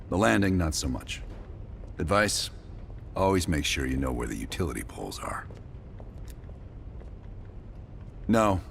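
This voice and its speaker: Gravelly, very deep veteran spy leader. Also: male voice, a little hiss or hum, heavily acted. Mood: dry, matter-of-fact. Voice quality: low gravelly voice. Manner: terse, measured, dry delivery. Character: gruff, calculating, cool-headed veteran male spy team leader. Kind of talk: cinematic game dialogue acting.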